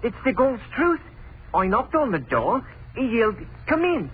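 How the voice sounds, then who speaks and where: A man's voice: very high-pitched, huge pitch swings, moderate pace, slightly rough, narrow, tinny audio, exaggerated voice. A man playing a nervous, defensive witness being questioned, in an old radio drama. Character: jittery male neighbor questioned by police. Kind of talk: 1940s radio acting. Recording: scratchy, thin old radio broadcast.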